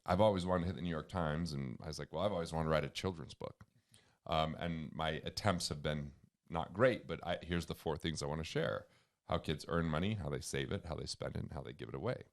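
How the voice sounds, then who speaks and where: A man with a booming voice, a financial author and comedian, talking earnestly and emphatically as a guest in an interview. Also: gravelly. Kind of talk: conversational interview.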